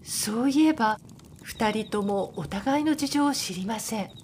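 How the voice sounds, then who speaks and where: A woman's voice: on the high side, animated, unhurried, a little mellow, slight background noise. A woman reading scripted narration for a radio drama.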